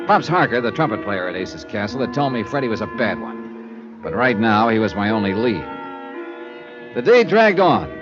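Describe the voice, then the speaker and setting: A man's voice: on the low side, big pitch swings, moderate pace, over music. An actor playing a wry, world-weary male insurance investigator who narrates his own case in a 1950s radio drama. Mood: dry. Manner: wry, laconic first-person narration. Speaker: narrator and lead character. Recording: dull old radio transcription.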